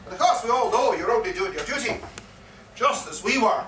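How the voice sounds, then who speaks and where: A man's voice: high-pitched, animated, fairly quick, fairly bright tone. A man on stage playing a garrulous old soldier with an Irish accent, telling a war yarn with theatrical repetition. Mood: wry, wheedling. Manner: theatrical, dramatic storytelling with repeated phrases. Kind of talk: live stage performance of a comic one-act play. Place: live performance in a pub. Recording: echoey, distant live pub recording, faint noise.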